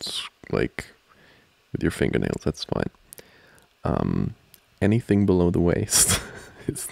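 Very deep, gravelly ASMR boyfriend, acted by a man. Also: no echo, heavily acted. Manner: halting, soft-spoken, chuckling, teasing. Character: amorous, giggly young male student boyfriend trying to study. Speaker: ASMR roleplay voice performer. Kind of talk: intimate ASMR boyfriend roleplay. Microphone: close microphone, dry.

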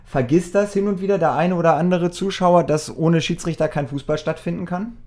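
Male presenter, slightly high voice, articulate and formal, delivering prepared interview questions. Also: a little fast, darkish tone.

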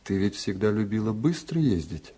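A man doing a quiet, hesitant father. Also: fairly low pitch, big pitch swings, moderate pace, breathy edge. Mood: tentative. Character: quiet middle-aged father driving his daughter.

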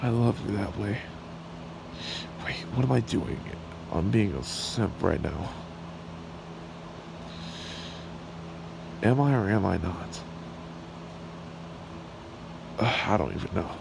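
A man playing a smitten, shy red dragon boyfriend in an ASMR roleplay, speaking in a halting, tender way. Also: fairly low pitch, creaky. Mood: musing. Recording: steady background hiss or room hum.

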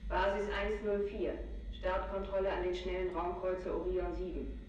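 An actress playing an impersonal launch control announcer in a 1960s sci-fi series, flat and official. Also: female voice, on the low side, moderate pace, slight background noise, lightly acted. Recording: dull, very echoey distant loudspeaker.

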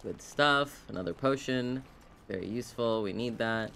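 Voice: medium pitch, normal speed, creaky, fairly bright tone, slight background noise. A video game streamer giving casual live commentary, rambling and wryly talking to themself and the game. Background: video game sounds.

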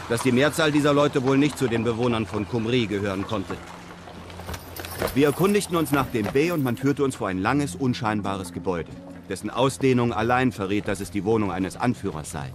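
An actor playing a European traveller who narrates his desert adventures in a measured, literary way. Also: mid-range voice, noisy background, close to an everyday voice. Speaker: narrator of an audio drama. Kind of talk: scripted first-person adventure narration.

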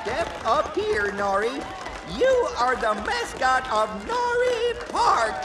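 Jolly old park elder announcing stuff, kids cartoon, really slow, music behind. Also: sits high, very animated, far from the mic, over a noisy crowd, heavily acted. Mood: jubilant. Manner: ceremonious, hearty. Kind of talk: children's animated series dubbing.

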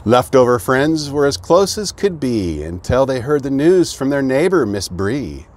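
A middle-aged American man reading a children's rhyming storybook aloud in an expressive storytelling manner. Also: mid-range voice, a little slow. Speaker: fire chief, guest reader. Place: outdoors beside an open fire truck.

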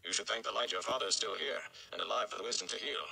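A man voicing a kindly, chatty older gleeman from a fantasy storybook, speaking in a reassuring, emphatic, deliberate manner. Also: on the low side, gravelly, pushed and bright, heavily acted. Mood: reassuring, somber.

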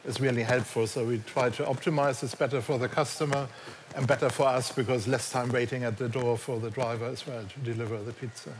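A man with a German accent, a guest on a conference stage panel, explaining his work calmly through a headset mic. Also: mid-range voice, a little fast, a little hiss or hum. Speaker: company tech executive guest. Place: large conference stage before an audience.

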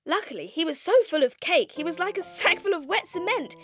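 Little girl narrating an audiobook, high voice, wry storytelling, loud piano music behind. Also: lively intonation, fairly quick. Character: young schoolgirl telling her own story.

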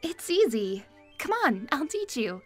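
An actress playing a kind young woman, gentle and reassuring, in an audio drama. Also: fairly high pitch, very animated, measured pace, faint music behind. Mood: playful, encouraging. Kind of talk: amateur audio drama acting.

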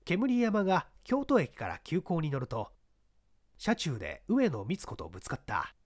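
推理小説を芝居がかった調子で朗読する男性ナレーター。. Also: male voice, a little high, wildly swooping pitch, moderate pace, no room sound, studio quality. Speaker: audiobook narrator. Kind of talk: dramatic scripted narration of a mystery story.

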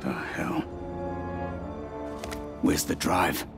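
Gravelly, menacing mob thug. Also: male voice, extremely low pitch, extremely slow, over loud music, exaggerated voice. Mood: irritated. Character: menacing, short-tempered male mob thug boss. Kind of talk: video game cutscene acting.

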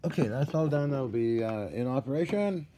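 A man giving a casual, hands-on walkthrough of equipment in a halting, muttering way with folksy asides, recorded on a handheld device in a workshop. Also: mid-range voice, expressive, warm tone. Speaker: radio restorer. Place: indoor cluttered workshop. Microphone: handheld camera or phone, behind the lens. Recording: noisy handheld recording with room ambience.

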